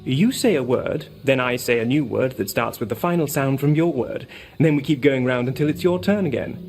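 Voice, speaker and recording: An actor playing a smug, teasing elf in a video game, explaining things in a crisp, superior way. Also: medium pitch, fairly quick, darkish tone, soft background music, lightly acted. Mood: playful challenge. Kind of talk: video game character acting.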